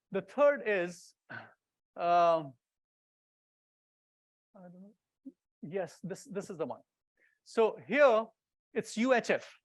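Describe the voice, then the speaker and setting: A man's voice: high voice, dry recording. A man presenting an informal technical talk, explaining step by step and checking that listeners follow. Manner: explanatory, often checking with "right?" and "okay?". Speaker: conference presenter, radio builder.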